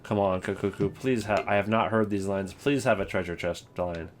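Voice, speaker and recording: A man's voice: low-pitched, steady tone, moderate pace. A man giving casual, rambling commentary, thinking aloud as he goes. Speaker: video creator ranking game voice lines.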